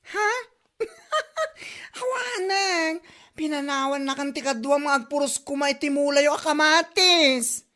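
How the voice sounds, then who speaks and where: A woman's voice: sits high, slow, pushed and bright, exaggerated voice. A woman with an Ilocano accent playing a complaining neighbor in a comedy drama.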